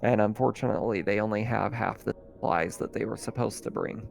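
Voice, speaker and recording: A man running a tabletop roleplaying game as game master, narrating casually in a halting, improvised way. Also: fairly low pitch, steady tone, vocal fry. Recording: faint music behind the voice. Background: faint music.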